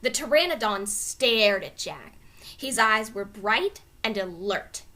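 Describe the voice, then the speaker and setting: A woman reading a children's story aloud in an animated, expressive narrating style. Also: medium pitch, slow pace. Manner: expressive, clearly dramatized reading. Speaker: storybook reader.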